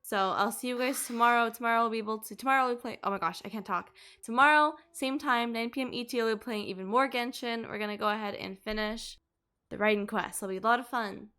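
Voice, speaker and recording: A young woman streams games and talks casually with her viewers. Her voice is on the high side. She sounds tired and sleepy. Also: quick pace, pushed and bright, smooth. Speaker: game streamer. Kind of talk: casual livestream chat.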